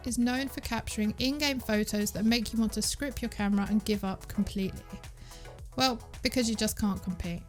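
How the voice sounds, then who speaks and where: A woman narrating a scripted gaming news recap as the host of an online show. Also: a little high.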